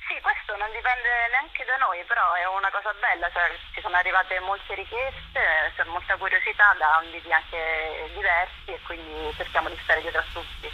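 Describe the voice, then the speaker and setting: A woman giving a casual interview, often halting as she searches for words. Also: fairly low pitch, sharp tone, a little hiss or hum, poor audio. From Rome, Italy. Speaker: interview guest, writer and performer.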